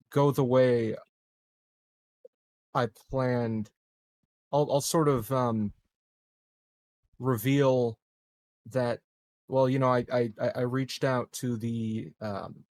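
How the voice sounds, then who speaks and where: Slow, gravelly-voiced man with halting phrasing. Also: mid-range voice, a little mellow, no echo. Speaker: tabletop game player. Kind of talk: casual in-game discussion.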